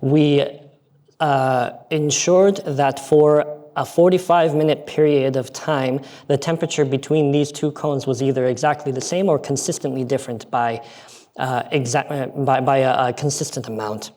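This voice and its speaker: An American man presenting research at a conference, explaining methods in an earnest, measured way with frequent filler pauses. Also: medium pitch, steady tone, darkish tone. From United States. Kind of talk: scientific conference talk. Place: conference hall.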